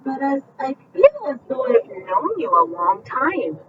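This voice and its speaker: A woman voicing a gentle, lonely, friendly male goose from a storybook. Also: high-pitched, sing-song, measured pace, pitched above the performer's own voice. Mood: wondering. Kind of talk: storybook character reading. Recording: muffled, distant mic with faint background noise.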